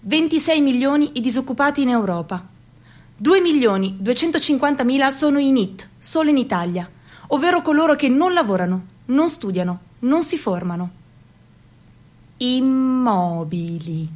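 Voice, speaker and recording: A young woman performing a theatrical monologue for a radio documentary. She is slow and dramatic, drops to whispers and breaks into sudden cries. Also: sits high, expressive, a little mellow. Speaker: narrator and performer.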